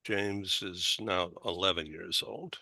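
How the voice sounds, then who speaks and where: An older man hosting a casual panel chat, halting, putting questions to the guests. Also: low-pitched, fairly even intonation, vocal fry, bright, forceful tone, no room sound. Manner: slow, halting, questions with rising lift. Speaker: talk show host.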